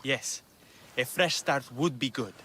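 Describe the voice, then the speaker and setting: A man's voice: mid-range voice, slow, bright, forceful tone. An actor playing a grieving, vengeful man in a video game, solemn and bitter. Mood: subdued, solemn. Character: grieving, vengeful male musician who lost his brother. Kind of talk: video game dramatic acting. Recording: faint ambient noise.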